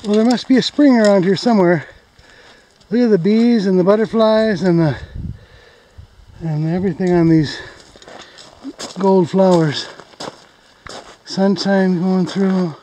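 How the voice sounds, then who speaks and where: A man's voice: sits high, a little slow, pauses often, slight background noise, rough recording. Chatty man hiking a desert canyon. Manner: relaxed, chatty, pointing things out. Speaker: mine explorer vlogger. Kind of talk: casual narration while hiking. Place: desert canyon outdoors and narrow mine tunnels.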